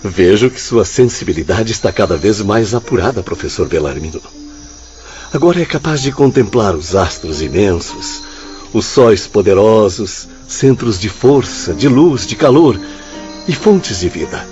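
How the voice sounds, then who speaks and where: Radio drama mentor played by a man: kindly and reverent, dark low tone, stately delivery, muffled lo-fi audio. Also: lively intonation, close to an everyday voice. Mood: reverent, encouraging. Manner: lofty, measured, reassuring. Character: kindly, reverent spirit mentor encouraging his brother. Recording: dull lo-fi audio. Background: soft music and night insects.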